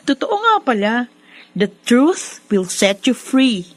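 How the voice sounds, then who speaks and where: An actress playing a candid, breezy young daughter in a radio drama. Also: female voice, on the high side, very animated, takes it slowly, no room sound, heavily acted. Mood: breezy, relieved.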